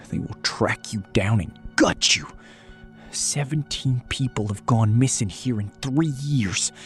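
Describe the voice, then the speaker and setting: A man voicing a grim older local who sternly warns a newcomer about danger outside. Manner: stern, low, deliberate warning. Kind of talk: horror story narration.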